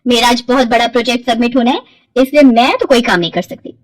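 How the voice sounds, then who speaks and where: A young college girl played by a woman storyteller, self-centred and outspoken. Her voice is on the high side and recorded dry, in the style of a family cartoon. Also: fast talker, dry recording, lightly acted. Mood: dismissive.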